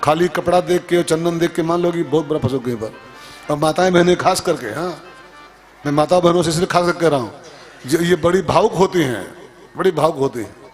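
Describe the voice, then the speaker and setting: A man delivers a religious discourse in a fairly high voice over faint music and tabla. He teaches like a storyteller, stressing points by repetition. Rhetorical questions punctuate his emphatic delivery. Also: brisk. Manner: emphatic, repeats phrases, asks rhetorical questions.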